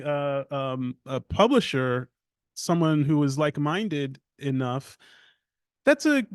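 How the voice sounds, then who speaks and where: Hesitant man with a smooth voice. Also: fairly high pitch, no room sound, studio quality. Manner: hesitant, many ums and restarts. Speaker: poet on a reading panel. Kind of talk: reflective panel answer. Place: live reading event before an audience.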